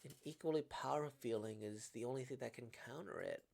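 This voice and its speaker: A woman's voice: rumbling low, quick pace, gravelly, darkish tone, pitched below the performer's own voice, full character voice. A woman voicing a tragic, self-sacrificing young cursed woman in a dramatic visual novel read-aloud, with a strained, pleading manner. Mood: solemn, resigned.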